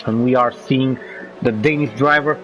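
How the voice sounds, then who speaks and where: A man with a cold commentating a sim racing league broadcast, rising in pitch when the action heats up. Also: fairly even intonation, moderate pace, a bit bright, a little hiss or hum, low-quality recording. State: has a cold. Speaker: race commentator.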